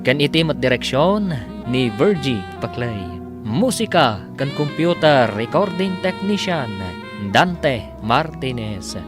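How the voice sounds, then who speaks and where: A man's voice: medium pitch, very animated, takes it slowly, music in the background. A man with an Ilocano Filipino accent reading scripted narration as the announcer of a radio drama.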